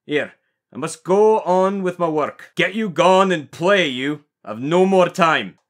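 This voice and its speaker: A man playing a gruff old gardener. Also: fairly high pitch, lively intonation, slow pace. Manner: blunt, grumbling dialect speech. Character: gruff old male Yorkshire gardener, surly.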